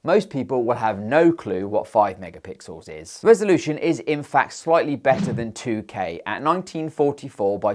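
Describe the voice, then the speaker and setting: A British man presents a product review in a lively, highly varied voice. He narrates from a script in an informal, playful way, dropping in jokey asides. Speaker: tech reviewer and channel host.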